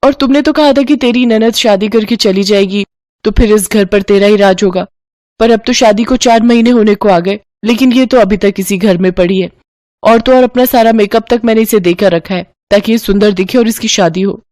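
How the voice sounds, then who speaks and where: A woman's voice: medium pitch, moderate pace, darkish tone, no echo, close to an everyday voice. A woman voicing a scheming young daughter-in-law in a family story, sweetly bossy and dramatic. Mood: exasperated.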